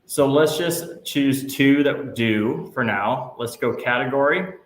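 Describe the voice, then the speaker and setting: A patient male instructor leads a live class through each click of a software tool. His voice carries a roomy echo, with a faint hiss underneath. He reassures students often. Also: mid-range voice, slow. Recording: echoey room, faint noise.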